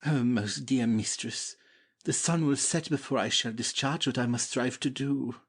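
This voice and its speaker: A man's voice: mid-range voice, moderate pace, husky. A man playing an ardent, humbly devoted young prince in a dramatic Shakespeare audiobook, declaiming verse fervently. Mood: earnest, gallant.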